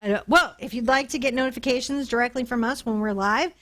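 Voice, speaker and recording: A woman's voice: a little high, quick pace, a bit bright. A woman chatting casually and playfully as the host of an art tutorial livestream, thanking supporters.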